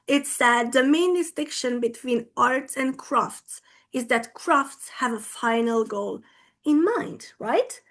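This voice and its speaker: A woman whose first language is Hungarian gives a talk at an online teaching conference, her voice sitting a little high. She speaks slowly and deliberately, regularly pausing to check that her listeners are following. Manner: slow, deliberate, often checks in with "right?".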